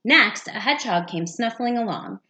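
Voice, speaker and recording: A woman's voice: a little high, animated, normal speed, pushed and bright. A woman, a teacher, reading a picture book aloud for young children. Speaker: second-grade teacher.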